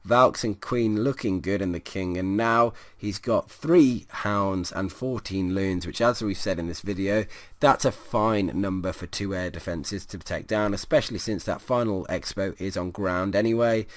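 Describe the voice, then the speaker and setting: A hoarse man commentating on a strategy game, his voice sitting lower than usual as it starts to give out. He talks casually and rambles, with plenty of ums and restarts. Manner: rambling, many fillers and restarts.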